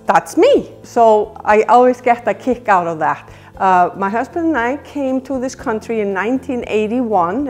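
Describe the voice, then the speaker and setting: A woman's voice: medium pitch, darkish tone. An older woman from Iceland with an Icelandic accent, earnestly introducing herself as a realtor to camera in a living room. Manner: earnest, measured, gesturing with both hands. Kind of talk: promotional self-introduction to camera. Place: indoor living room by a stone fireplace.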